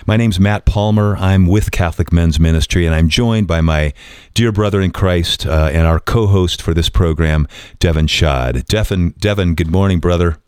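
A man's voice: sits low, normal speed, a little mellow, clean tone, no room sound, clean studio sound. A man hosting a faith radio program, eager and welcoming, with frequent fillers.

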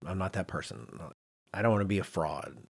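A man's voice: low-pitched, brisk, vocal fry, husky. A man hosting a podcast in a conversational, emphatic, self-deprecating way, moving between chat and read-out notes. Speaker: podcast host.

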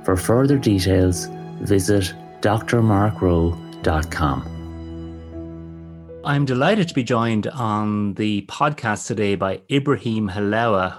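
Man, podcast host, low voice, measured, courteous, faint background music. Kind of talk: podcast intro and interview questions.

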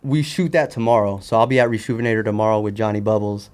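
A man's voice: lowish voice, fast talker, darkish tone, clean tone. A man chatting casually as a podcast guest, talking in a candid, slangy way. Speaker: podcast guest, sneaker customizer. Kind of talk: casual chat.

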